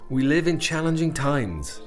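An actor playing a weary, defensive middle-aged railway director in an audio drama, brusque and insistent. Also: male voice, a little high, big pitch swings, a little slow, faint music behind. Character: weary, defensive railway director, husband under wartime pressure.

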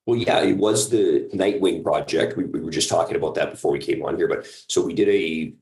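A man with an extremely low voice chats casually as a podcast guest. He is easygoing and talkative, and the sound is noticeably roomy and echoing. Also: brisk, creaky, darkish tone. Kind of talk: casual interview chat.

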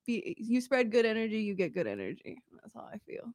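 A woman's voice: a little high, very animated, fairly quick, darkish tone, no echo, clean studio sound. A young woman from Las Vegas, a clothing designer, talking in a laid-back, giggly way as an interview guest. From Las Vegas, USA. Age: young adult. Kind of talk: casual interview chat.

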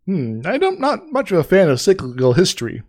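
A man co-hosting a casual podcast, getting over an illness with a sore throat, talking haltingly with many restarts and fillers. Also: high-pitched, wildly swooping pitch, a little mellow. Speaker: podcast co-host.

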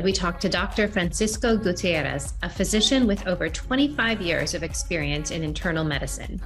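A woman hosting a podcast, reading a scripted introduction in a clear, evenly paced way. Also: fairly low pitch. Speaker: podcast host. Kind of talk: scripted intro, then casual interviewing.

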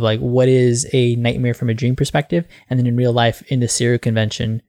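A man's voice: medium pitch, a little mellow, no echo, clean studio sound. A man co-hosting a comics book club podcast, recapping the story in a rambling way and laughing often. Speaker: podcast co-host. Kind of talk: casual book club discussion.

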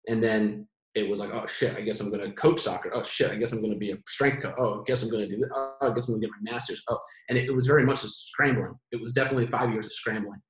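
A man's voice: lowish voice, fast, hardly any pauses, roomy sound, rough recording. A man in his late twenties, a podcast guest, talking casually and at length with lots of restarts and fillers. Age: 20s. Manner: rambling, animated, many restarts. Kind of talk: casual conversation.

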